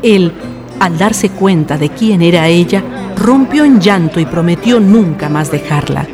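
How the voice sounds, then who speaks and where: A woman's voice: fairly low pitch, expressive, unhurried. A woman narrating a scripted radio drama in a literary storytelling style. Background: guitar music.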